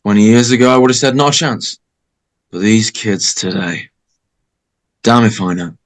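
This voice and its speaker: A man's voice: lowish voice, moderate pace, lightly acted, poor audio. Man acting a stern, weary small-town sheriff. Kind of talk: amateur table read of a film script.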